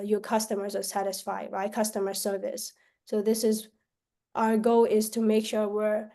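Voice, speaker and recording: A woman from Myanmar, a salesperson pitching her service on a call, often tagging sentences with questions and restarting them. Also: dark tone. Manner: pitch broken up by frequent tag questions and restarts.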